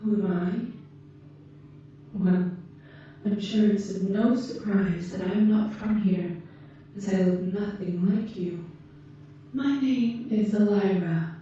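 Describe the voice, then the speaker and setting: Woman playing a dark, soft-toned, slow alien caretaker. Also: medium pitch, close to an everyday voice. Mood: calm, matter-of-fact. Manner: slow, gentle, measured, formal wording. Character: calm, caring female alien from Venus tending a patient. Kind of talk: ASMR roleplay, scripted monologue to the listener. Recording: muffled, echoey, distant, faint noise.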